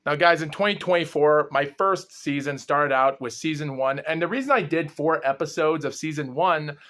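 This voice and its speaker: A young man with a groggy, slightly ill voice, hosting his own paranormal exploration vlog and rambling in long run-on sentences. He talks without pausing for breath and sometimes stumbles over his words. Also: a little high, a bit bright. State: groggy and under the weather. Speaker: host of a paranormal exploration series. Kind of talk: rambling solo vlog recap.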